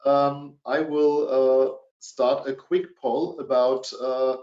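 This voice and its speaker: A middle-aged man speaking English with a German accent presents a business webinar, stopping often on filler sounds. His voice sits at an ordinary pitch. The sound is dull and lo-fi, with a roomy echo around it. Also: fairly even intonation, takes it slowly, darkish tone. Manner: hesitant, many fillers.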